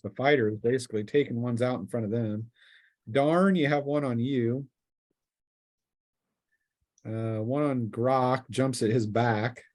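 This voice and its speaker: A man narrating a tabletop fantasy game as game master, conversational and descriptive, often pausing mid-sentence as he improvises. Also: mid-range voice, darkish tone.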